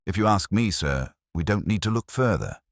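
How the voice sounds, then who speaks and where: A man's voice: fairly low pitch, expressive, moderate pace, gravelly, no room sound, studio quality, voice pushed up. A man voicing an eager, blunt middle-aged police sergeant who talks in a plain, forthright way.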